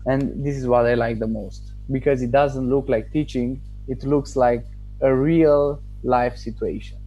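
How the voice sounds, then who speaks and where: Mellow-voiced man, halting and thoughtful. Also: mid-range voice, steady tone, muffled recording, faint music behind. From Romania. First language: Romanian. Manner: thoughtful, halting, with restarts and pauses. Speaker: student giving a testimonial. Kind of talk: casual interview answers.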